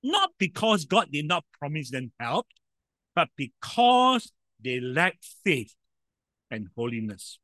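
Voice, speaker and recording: A man preaching a sermon in a loud voice, emphatic, with sudden swings in volume and frequent rhetorical questions. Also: a little high, very animated, slow, dry recording, studio quality.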